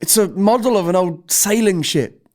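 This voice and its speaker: A cheeky teenage boy of fifteen played by an actor in an audio drama. The delivery is casual and curious, with lively swings in pitch and dry little jabs at a parent. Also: normal speed, natural voice. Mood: excited, curious. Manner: casual, playful, dryly cheeky.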